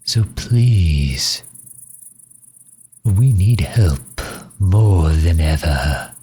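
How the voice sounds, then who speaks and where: A man playing a courteous, earnest vampire leader in an audio roleplay, speaking softly with formal, old-fashioned politeness. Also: deep voice, lively intonation, extremely slow, breathy edge, exaggerated voice. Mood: pleading. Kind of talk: scripted ASMR roleplay, addressing the listener.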